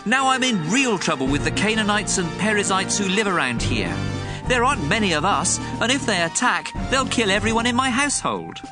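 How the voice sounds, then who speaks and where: A man's voice: sits high, very animated, brisk, over music. An actor playing an anxious, cautious patriarch and herdsman in a dramatized audio Bible. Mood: upset, reproachful.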